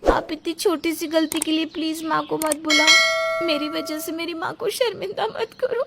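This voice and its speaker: A woman's voice: very high-pitched, fairly even intonation, moderate pace, vocal fry, sharp tone, pitched above the performer's own voice, full character voice. A woman voicing a meek young daughter-in-law in a story, pleading and submissive. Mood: pleading, distressed.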